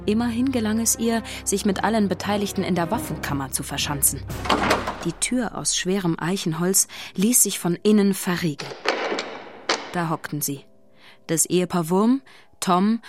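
A woman's voice: on the low side, darkish tone. A woman narrator reading scripted narration for a children's radio play. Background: music.

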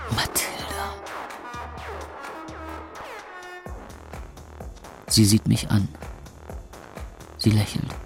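A man's voice: medium pitch, gravelly, a little mellow. An actor playing a weary, sardonic young club owner who narrates his night in a radio drama in terse phrases. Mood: dazed, longing. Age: young adult. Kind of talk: first-person radio drama narration over club music.

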